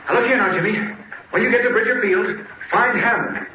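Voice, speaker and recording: A high-pitched, sharp reporter hero , played by a man in a 1940s radio serial. He is brisk, commanding and urgent. The sound is dull with faint noise behind. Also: close to an everyday voice. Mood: urgent, decisive.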